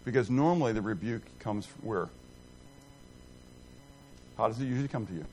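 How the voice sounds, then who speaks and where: A middle-aged man teaching a Bible class in a rambling, self-deprecating, animated way, quizzing and joking with his group. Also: fairly low pitch, moderate pace, a little hiss or hum, low-quality recording.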